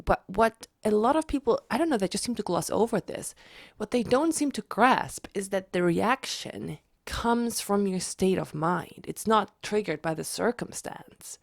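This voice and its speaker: A woman who is a little ill and sounds raspy, giving a chatty explanatory vlog with frequent restarts. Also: medium pitch, lively intonation, a little slow, dry recording, clean studio sound. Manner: chatty, repeats herself with restarts. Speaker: manifestation vlogger.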